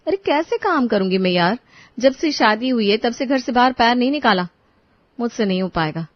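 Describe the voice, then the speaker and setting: A woman voicing a worried middle-class mother in an animated story, talking in an anxious, fretful way. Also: on the high side, normal speed, no room sound, natural voice. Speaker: voice actor. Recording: dull, dry studio recording.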